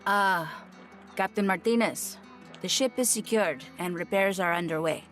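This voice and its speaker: A woman's voice: medium pitch, measured pace, fairly bright tone, soft background music, close to an everyday voice. A woman playing a dutiful, cautious quartermaster who speaks formally and deferentially in an audio drama. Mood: businesslike.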